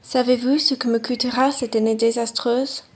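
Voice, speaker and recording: A woman's voice: fairly high pitch, fairly even intonation, normal speed. A woman in a student radio play, playing a wealthy, self-important bourgeois businessman. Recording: clear background noise.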